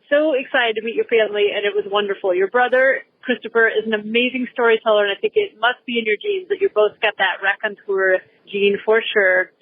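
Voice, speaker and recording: A woman's voice: on the high side, narrow, tinny audio. A woman caller leaving a casual voicemail over the phone. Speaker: podcast caller.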